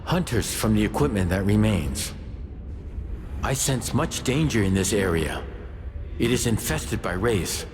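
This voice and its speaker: An actor voicing a calm spirit guide in a video game, with a measured, formal delivery. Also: male voice, lowish voice, slight background noise. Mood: calm, watchful. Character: calm male spirit guide of a magic gauntlet. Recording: long reverb, loud music behind.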